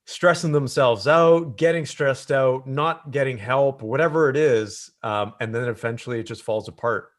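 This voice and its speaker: A man's voice: medium pitch, normal speed, hardly any pauses. Man hosting a casual interview. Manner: encouraging, asks open questions. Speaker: interview host.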